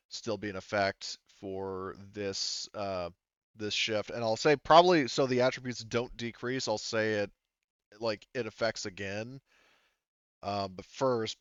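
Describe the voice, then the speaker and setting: A man's voice: on the low side, clear, resonant tone, no echo, muffled recording. A man running a tabletop game session as game master, talking casually in a rambling, hesitant way. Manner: rambling, hesitant, often repeats "you know" and "like".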